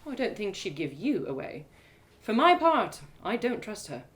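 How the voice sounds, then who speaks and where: A woman's voice: mid-range voice, sing-song, moderate pace, a bit bright. A woman voicing a wary female fantasy traveler, urgent and firm with her companion. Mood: distrustful.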